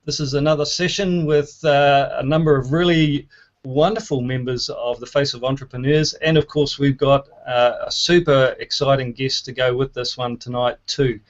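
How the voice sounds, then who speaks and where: A man's voice: medium pitch, steady tone, normal speed, dull audio. A man hosting an online networking event, enthusiastic and full of "uh" fillers. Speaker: host.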